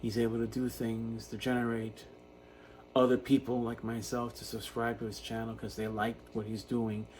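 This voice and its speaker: A man in his fifties giving an unscripted personal monologue in a halting, self-reflective way. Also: mid-range voice, monotone, darkish tone, low-quality recording. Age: 50s. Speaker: video creator talking about personal issues. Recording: noticeable background noise.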